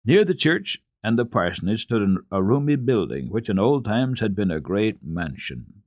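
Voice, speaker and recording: A man with a fairly low voice narrates classic children's literature for radio. His intonation swings widely, and he phrases each passage deliberately and expressively, leaning on key words like a practiced storyteller. The sound is dry and close, with very little room in it. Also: very animated, darkish tone, no room sound.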